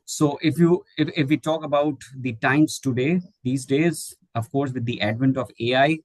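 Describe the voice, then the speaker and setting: A man's voice: mid-range voice, normal speed, no echo. Hesitant Indian man on a video call. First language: Hindi. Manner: hesitant, many fillers and restarts. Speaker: guest speaker, English teacher trainer. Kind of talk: online webinar Q&A.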